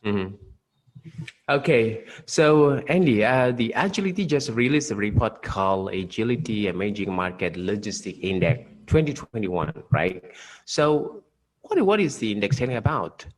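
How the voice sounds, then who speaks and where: A man's voice: fairly low pitch, animated, moderate pace, poor audio. A man hosting a podcast, asking short questions with hesitant fillers. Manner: brief prompts with hesitant fillers. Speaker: podcast host. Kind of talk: interview hosting.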